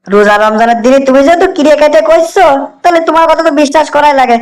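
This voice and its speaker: A woman's voice: sits high, expressive, fast talker, darkish tone. A woman playing a suspicious but gullible market customer in a comic cartoon, querying and haggling. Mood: doubtful.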